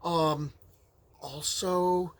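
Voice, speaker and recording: A man's voice: a little high, steady tone, extremely slow. A middle-aged man who grew up speaking Ukrainian at home, giving a casual, rambling lecture on folklore as a history teacher, with many fillers and restarts. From United States.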